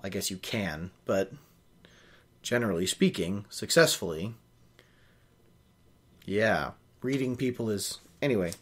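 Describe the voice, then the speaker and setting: A young man hosts a reading of a novel with an animated, widely moving pitch. His voice sits at a middling height, and his commentary is casual and a little wry. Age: young adult. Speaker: host of a book reading show. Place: small room at home.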